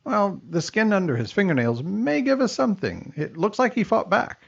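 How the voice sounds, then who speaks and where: A man's voice: high voice, very animated, normal speed, vocal fry, husky, muffled recording, exaggerated voice. An actor playing a wisecracking male medical examiner with dry punchline delivery in a comedic radio drama. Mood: deadpan.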